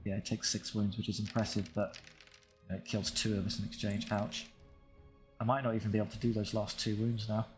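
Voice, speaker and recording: Low-voiced man casually commentating a strategy game. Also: little pitch movement, a little fast, over music. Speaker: gaming video creator commentating his own playthrough.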